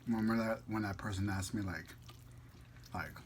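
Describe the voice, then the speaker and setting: A man's voice: fairly low pitch, normal speed, a little hiss or hum. A man chatting casually and playfully in a food vlog, rambling a little as he talks while eating. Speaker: food vlogger. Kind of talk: casual mukbang chat.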